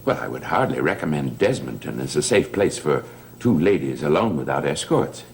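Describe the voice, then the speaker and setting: Suave, deep, swooping gentleman. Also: sing-song, normal speed, creaky, a little hiss or hum, full character voice. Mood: quietly foreboding. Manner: courtly, condescending. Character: suave, patronizing gentleman with veiled menace. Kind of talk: 1960s TV soap acting.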